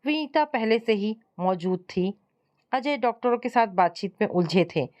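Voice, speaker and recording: Female story narrator, mid-pitched, scripted first-person domestic drama. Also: expressive, moderate pace, darkish tone.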